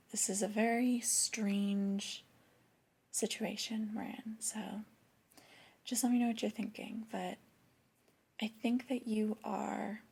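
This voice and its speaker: A woman playing a flirty, disapproving female boss in a soft-spoken ASMR roleplay, breathy and half-whispered, with a gentle, hesitant, intimate delivery. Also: medium pitch, full character voice. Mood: coy, apologetic. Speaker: ASMR performer.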